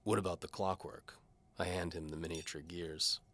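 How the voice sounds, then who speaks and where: Very deep, forceful hardboiled mercenary played by a man. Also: steady tone, moderate pace. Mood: probing. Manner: wry, terse, hardboiled. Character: cynical, hard-bitten male mercenary bowman narrating his case. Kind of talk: scripted first-person noir fantasy narration.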